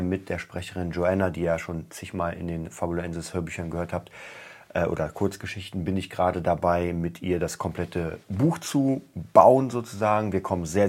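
A man hosts his own podcast, talking through his week in a loose, chatty monologue. His voice sits very low and sounds husky and stuffed up from a cold. He often repeats words to stress them. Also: a little mellow. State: has a cold, stuffy nose. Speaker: podcast host. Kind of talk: casual weekly update monologue.

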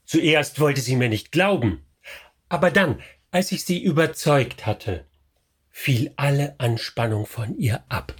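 A man narrating a radio play in a measured storytelling voice. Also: mid-range voice, animated, breathy edge.

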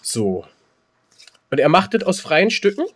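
A man chatting casually as a podcast co-host, repeating phrases excitedly as he explains. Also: on the high side, unhurried, breathy edge. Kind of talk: casual chat.

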